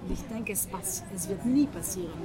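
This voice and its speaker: A middle-aged woman from France speaking German with a French accent, often searching for words in a casual breakfast conversation, with many pauses and fillers. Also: medium pitch, a loud crowd. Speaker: guest. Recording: breakfast table with a group chatting in the background.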